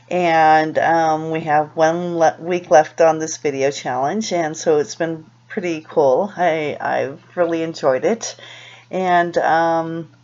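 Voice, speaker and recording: Low-voiced, rambling American woman vlogger. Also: a little mellow, dull audio. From Los Angeles, USA, born in Peru. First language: Spanish. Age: middle-aged. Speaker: video blogger. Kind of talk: casual talk to camera. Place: small room indoors.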